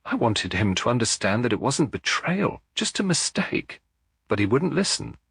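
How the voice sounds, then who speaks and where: Man voicing a remorseful, pleading woman, husky. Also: medium pitch, big pitch swings, normal speed, dry recording, studio quality, voice pushed up, exaggerated voice. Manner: soft, pleading. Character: remorseful, soft-spoken female employee confessing.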